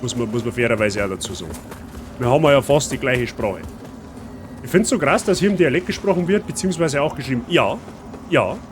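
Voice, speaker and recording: A man's voice: medium pitch, animated, fairly quick. A man with a Bavarian accent chatting casually with his viewers while playing a game. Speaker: streamer. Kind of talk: casual let's-play chat. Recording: noisy stream audio.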